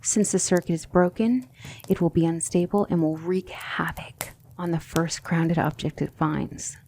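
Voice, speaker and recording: A woman playing a gentle, patient demon tutor in an ASMR roleplay, speaking in measured, formal instruction that often drops toward a whisper. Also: on the low side, steady tone, slight background noise, poor audio. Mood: calm, instructive. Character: gentle, patient demon tutor guiding a magic student, female.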